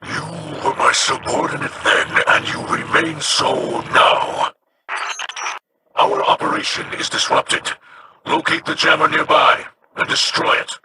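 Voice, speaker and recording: Grave alien leader. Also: male voice, fairly high pitch, huge pitch swings, measured pace, noisy background, exaggerated voice. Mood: solemn. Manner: grave, formal commands. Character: solemn old alien male leader, formal.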